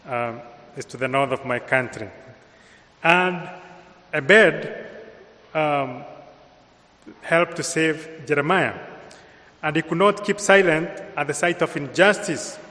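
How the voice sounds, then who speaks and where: A man with a Kenyan accent giving a homily in a slow, measured way with pauses. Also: fairly high pitch, sharp tone. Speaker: priest. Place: large church with long reverb. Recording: reverberant church hall sound.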